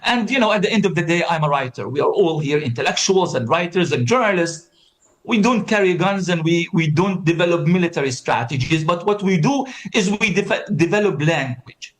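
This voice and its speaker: A man's voice: a little high, lively intonation, a little fast. A man from Palestine, a writer, giving an impassioned conference speech and repeating words for emphasis. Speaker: panel speaker, writer.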